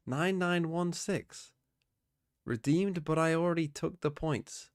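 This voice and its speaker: A man's voice: on the high side, no room sound, studio quality. A man streaming live, chatting casually and muttering to himself as he plays. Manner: muttering to himself while playing.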